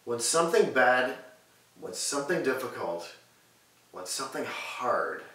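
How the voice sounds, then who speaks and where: A man in his thirties preaching and praying earnestly from a sofa in a living room, measured and repetitive in his phrasing. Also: mid-range voice, very animated, fairly bright tone, recorded from a distance. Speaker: pastor. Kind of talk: sermon and prayer. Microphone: far camera mic. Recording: echoey room audio with faint hiss.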